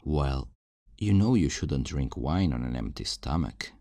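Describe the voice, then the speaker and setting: A man's voice: very deep voice, sing-song, warm tone, no echo, studio quality, exaggerated voice. A man playing a possessive, falsely tender kidnapper in an intimate ASMR roleplay, coaxing and condescending with veiled menace. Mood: falsely cheerful. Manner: slow, coaxing, condescending endearments with veiled threats.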